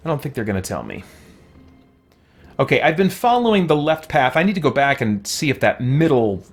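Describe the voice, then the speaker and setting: A man's voice: mid-range voice, sing-song, fairly quick, faint music behind. A man streaming a game with casual, informal commentary, thinking aloud and chatting with his viewers in a rambling way. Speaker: game streamer and YouTuber, host. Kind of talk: casual livestream commentary while gaming, reading and answering chat.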